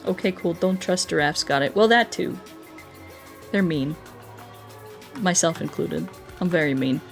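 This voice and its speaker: A woman's voice: on the low side, lively intonation, measured pace, gravelly, faint music behind. A woman livestreaming a video game, chatting casually with viewers in a giggly, playful, mock-earnest way. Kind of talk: casual chat with viewers while playing.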